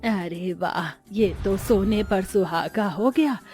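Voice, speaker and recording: A woman's voice: mid-range voice, slow pace, darkish tone, faint music behind, voice pushed down, natural voice. Woman as an emphatic, domineering mother-in-law. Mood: effusive praise. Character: domineering middle-aged mother-in-law, effusive then scornful. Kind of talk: Hindi cartoon moral story voice.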